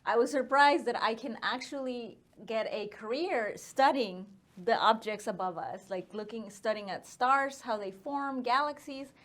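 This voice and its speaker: Female interview guest, 30s, Mexican accent, higher pitch, casual filler-dotted storytelling. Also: unhurried, hardly any pauses. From northern Mexico. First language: Spanish.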